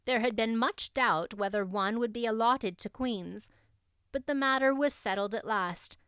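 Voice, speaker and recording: A woman's voice: on the high side, unhurried, fairly bright tone, clear, resonant tone, no room sound, clean studio sound. A woman audiobook narrator reading a classic novel expressively.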